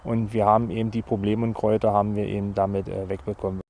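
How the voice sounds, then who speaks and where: A man giving an unscripted interview as a farmer, explaining matter-of-factly and haltingly, rephrasing as he goes. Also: lowish voice, monotone, a little mellow.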